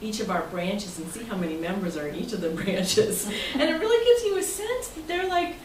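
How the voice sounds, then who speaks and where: A woman's voice: medium pitch, sing-song, fairly quick. Lady chatting to a club meeting, casual, echoey room, mic far off, kinda noisy. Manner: chatty, conversational, informal. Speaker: club president presenting to members. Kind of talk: informal club presentation. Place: indoor meeting room with members present.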